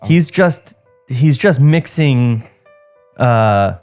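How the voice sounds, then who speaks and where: A man's voice: medium pitch, animated, very slow, darkish tone, soft background music. A man co-hosting a podcast, reading a script aloud with irreverent, joking banter. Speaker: podcast co-host.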